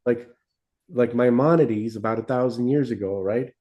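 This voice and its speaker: A man's voice: medium pitch, moderate pace, mellow, highs cut off. A man co-hosting a casual religious discussion podcast, explaining in a didactic way and often checking in with short tag questions. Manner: didactic, checks in with "right?" and "okay?". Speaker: podcast co-host.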